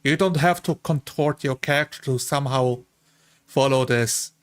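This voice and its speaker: A man's voice: medium pitch, moderate pace. A man chatting casually as a tabletop roleplay player, slipping into short acted-out quotes as he makes his points. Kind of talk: casual animated group discussion.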